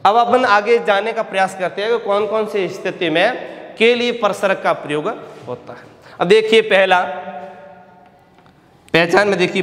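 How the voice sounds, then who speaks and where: Man, teacher, high voice, slow repetitive dictation, asks and answers himself, roomy echo. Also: fairly bright tone. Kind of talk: grammar lesson with dictation. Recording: echoey room with long reverb.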